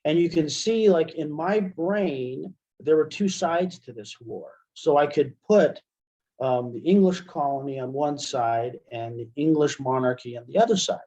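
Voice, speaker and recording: Muffled, mellow American man giving a tutorial. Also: a little high. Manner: informal, halting, checks in with "right?". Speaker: webinar presenter and instructor. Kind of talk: software tutorial, explaining step by step. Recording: muffled, dull computer-call audio.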